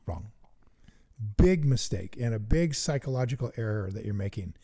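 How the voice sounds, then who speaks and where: A middle-aged man co-hosting a chat about investing, eager and thinking out loud as he works sums aloud. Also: medium pitch, lively intonation, moderate pace. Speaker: investing podcast co-host. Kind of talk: casual teaching chat.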